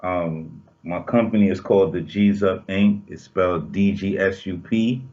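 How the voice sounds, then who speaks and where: A man's voice: low-pitched, unhurried, a little mellow. A man in his forties, a rapper guesting remotely, laid-back and slangy, with playful sayings. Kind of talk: casual interview chat. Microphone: video call. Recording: dull lo-fi video call audio.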